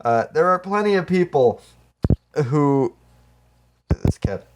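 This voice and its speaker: A man's voice: fairly high pitch, expressive, takes it slowly, background noise. A man co-hosting a podcast in casual, comedic chat, explaining things in a hesitant, conversational way. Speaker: podcast co-host.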